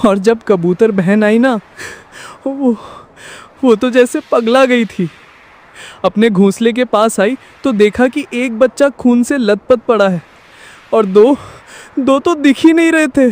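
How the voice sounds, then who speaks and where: A man voicing a concerned, emotional parrot in a children's cartoon, telling things dramatically. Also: extremely high pitch. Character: concerned, emotional male parrot friend, warning gravely.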